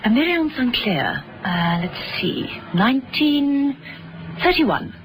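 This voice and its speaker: Noisy old radio, a cool witty lady spy, slow and highish, lilting. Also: big pitch swings, heavily acted. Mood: playful, wry. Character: cool, witty, composed female agent, playful. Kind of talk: vintage radio drama acting. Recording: noisy vintage radio recording.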